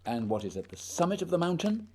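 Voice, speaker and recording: A man's voice: on the high side, very animated, fast, darkish tone, slight background noise. A man playing a proud, determined naval explorer in a radio play, speaking earnestly and dramatically, often questioning. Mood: eager, questioning.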